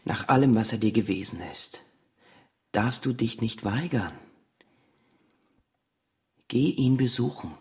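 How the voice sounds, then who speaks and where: An actor playing a gentle, forgiving brother in a German radio drama, speaking with quiet earnestness in a gravelly voice. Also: male voice, mid-range voice, slow pace, long pauses. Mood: gentle insistence. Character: gentle, forgiving brother urging his sister.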